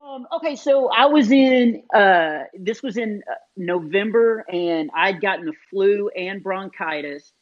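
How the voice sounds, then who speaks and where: A man, a comedian guest in an interview, telling self-deprecating stories in an animated, casual way with many fillers. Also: sits high, a little slow. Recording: dull lo-fi sound.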